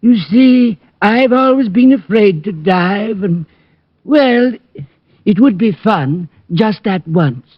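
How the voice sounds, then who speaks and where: A man's voice: sits high, lively intonation, slow, heavily acted. An actor playing a timid, fussy, deferential man, hesitant and polite, in an old-time radio comedy-drama. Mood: meek, apologetic. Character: timid, deferential, fussy male acquaintance of a detective. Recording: dull vintage radio recording.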